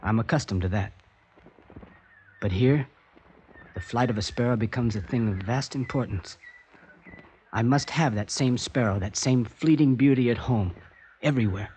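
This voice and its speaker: An introspective American poet with an American accent, played by a man in a vintage radio drama. His mid-pitched voice is earnest and emotional. A faint hiss runs beneath it. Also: normal speed, long pauses, darkish tone, natural voice. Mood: tender. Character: American poet abroad, troubled and devoted husband. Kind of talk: 1950s radio drama acting and narration.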